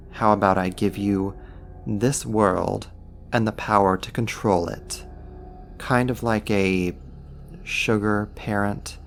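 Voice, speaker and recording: A man's voice: lowish voice, vocal fry. A man playing a smug, possessive archdemon in an ASMR roleplay, teasing and coaxing a human in an intimate, amused manner. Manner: teasing, smug, deliberate delivery. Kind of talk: ASMR roleplay acting, intimate second-person monologue.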